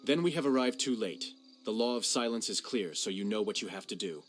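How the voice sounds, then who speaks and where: A man's voice: on the low side, lively intonation, fairly quick, faint music behind, lightly acted. A man playing a stern, ruthless lord commander in a video game cutscene, with a severe, authoritative manner.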